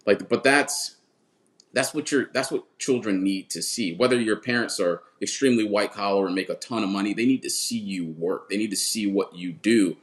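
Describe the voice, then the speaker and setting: Man, 30s, podcast guest coach. Low voice, earnest, repeats words mid-thought. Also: normal speed. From Washington, D.C. area. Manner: earnest, repeats words when building a point. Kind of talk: casual interview talk.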